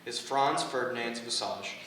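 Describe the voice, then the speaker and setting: A man's voice: mid-range voice, a little slow, pushed and bright. A man reading stage directions as scripted narration at a staged play reading. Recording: echoey room, far from mic, faint noise.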